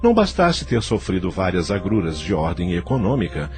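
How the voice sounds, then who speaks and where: A man narrates an audio novel in a literary, scripted style with a Brazilian accent. His voice is low and moves expressively, with music behind it. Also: sing-song, a little fast, a little mellow.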